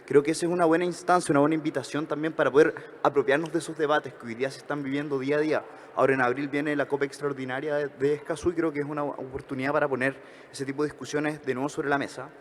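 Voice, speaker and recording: A man with a Chilean accent giving reflections at a conference workshop, talking fast with frequent hesitations and self-corrections. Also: a little high, steady tone, long reverb tail, a little hiss or hum. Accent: Chilean Spanish. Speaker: conference speaker.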